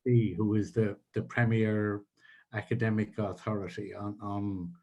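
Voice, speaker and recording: An older Irish poet speaking as a guest in an interview over a video call from his home, in a measured, halting way. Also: lowish voice, level tone, a little mellow. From Ireland. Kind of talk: conversational interview. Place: home in Ireland.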